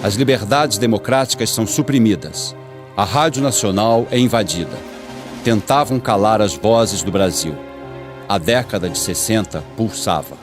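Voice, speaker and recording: A man's voice: on the low side, normal speed. A Brazilian man reading scripted radio documentary narration in a solemn, dramatic manner. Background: music.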